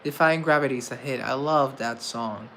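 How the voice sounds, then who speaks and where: A man's voice: mid-range voice, moderate pace, fairly bright tone, a little hiss or hum. A man chatting casually on a livestream, reading comments aloud and answering them with self-mocking humor. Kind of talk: casual live chat.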